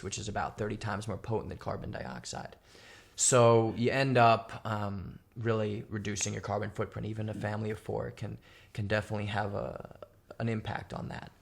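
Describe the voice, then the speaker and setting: A man's voice: fairly low pitch, gravelly. A man answering interview questions methodically, laying out points one by one with many fillers. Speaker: guest, company founder.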